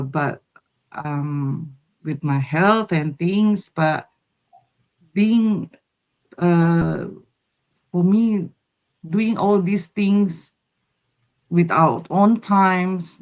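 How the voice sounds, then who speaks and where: A woman guest in a casual group chat, nervous and halting, with many fillers. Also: lowish voice, gravelly, lo-fi sound.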